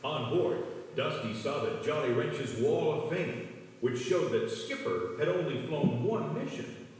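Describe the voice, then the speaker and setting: A man's voice: a little high, expressive, measured pace, slight background noise. A man reading a children's read-along story as a scripted narrator. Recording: echoey hall, distant, music behind. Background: music.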